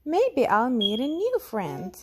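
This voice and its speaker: A woman's voice: on the high side, wildly swooping pitch, slow, crowd noise, exaggerated voice. A woman voicing a curious, eager little boy tiger in a children's storybook, with a wondering, childlike manner. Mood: eager, friendly.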